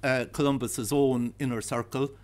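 Irish male lecturer, 50s, medium-pitched voice, discursive and hesitant, addressing a history talk. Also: little pitch movement, moderate pace. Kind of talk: public lecture with slides. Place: darkened heritage centre auditorium.

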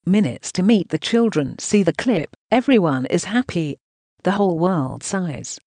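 Brief: synthetic news narration voice, choppy delivery, reads punctuation aloud, animated pitch, dry close recording. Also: measured pace, dry recording. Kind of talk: synthetic voice reading translated news text.

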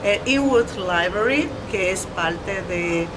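A middle-aged woman with a Dominican accent speaks in a conversational interview. Her pace is slow and reflective, with frequent pauses, and her pitch sits in a medium range. Loud ambient noise runs under the voice. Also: expressive. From Dominican Republic. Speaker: visual artist, interview guest. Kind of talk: conversational interview about own art.

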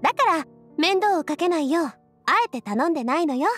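A self-assured young noblewoman type in a Japanese game. The delivery is ladylike and supportive, with a high pitch that moves expressively. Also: animated, brisk, a bit bright, soft background music. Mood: friendly, sincere. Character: elegant, warm-hearted young lady boss, supportive and self-assured. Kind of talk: Japanese video game voice acting.

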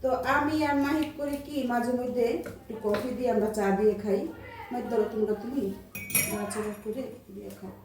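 A woman's voice: fairly high pitch, fairly even intonation, brisk. A middle-aged woman with a Bangladeshi accent, chatting casually as a mother vlogging at home. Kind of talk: casual home vlog chat. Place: indoors at home. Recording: echoey room, distant mic, faint noise.

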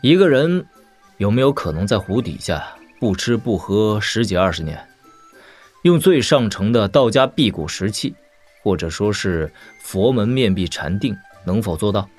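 A young martial-arts lord, roguish and curious, in an audio drama. He talks in a teasing, conversational way with very animated pitch over faint music. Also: moderate pace. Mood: inquisitive.

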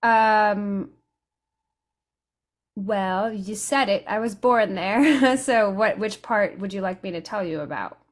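A young woman from Italy, a guest musician, talking casually in an interview over a video call, relaxed and thoughtful, with pauses and fillers. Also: medium pitch. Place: home room with studio mic and headphones. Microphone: studio condenser mic on video call.

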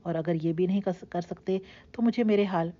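A woman's voice: lowish voice, fairly even intonation, warm tone, lo-fi sound, close to an everyday voice. Bitter heartbroken young woman, as a woman reads her. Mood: bitter anger. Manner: passionate, reproachful outbursts.

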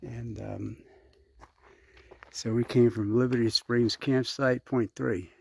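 A man casually narrating his hike to a handheld camera outdoors on a mountain trail, chatty and unhurried, winded at times from the climb. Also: medium pitch, steady tone, vocal fry, slight background noise. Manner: chatty, unhurried, reads signs aloud. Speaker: hiking vlogger.

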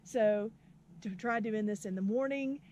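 A woman's voice: medium pitch, unhurried, mellow, slight background noise. A middle-aged woman, a history teacher, chatting casually and laughing easily while filming herself outdoors at arm's length. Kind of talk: casual outdoor vlog chat. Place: outdoors at a windy off-grid camp. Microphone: camera at arm's length.

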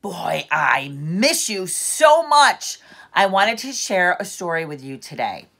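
A middle-aged woman reading a picture book aloud to children like a teacher, with deliberate storyteller phrasing and strong emphasis. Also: on the low side, big pitch swings, creaky, a bit bright. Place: living room at home, seated in an armchair.

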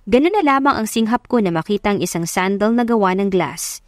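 A woman's voice: medium pitch, expressive, a little fast, dry recording, clean studio sound. A woman reading a scripted first-person love story as an audiobook narrator.

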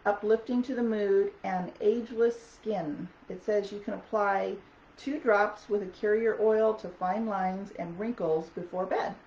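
A woman vlogger with a raspy, hoarse voice chatting casually about wellness products, with lots of ums. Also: medium pitch, fairly even intonation, measured pace, a little mellow. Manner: chatty, many ums, reads product notes aloud. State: hoarse from talking. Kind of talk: casual product talk to camera. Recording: echoey dull audio with faint noise.

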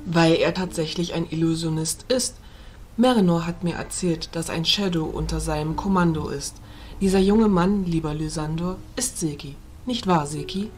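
A woman playing a gentle, wise fairy noblewoman, speaking softly and solemnly in a fantasy audio drama. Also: sits low, lightly acted. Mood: calm, kindly. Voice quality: soft, gentle. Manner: measured, solemn, courteous.

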